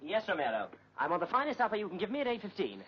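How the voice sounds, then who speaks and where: An actor playing a flustered, peevish army captain who stammers when surprised and snaps impatiently. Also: male voice, high voice, huge pitch swings, racing, slight background noise. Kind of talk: 1940s film comedy acting. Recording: dull 1940s film soundtrack.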